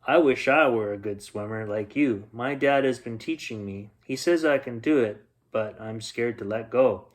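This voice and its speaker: A man's voice: medium pitch, moderate pace, few pauses, close to an everyday voice. Man reading as a shy, friendly little girl. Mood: shy, wistful.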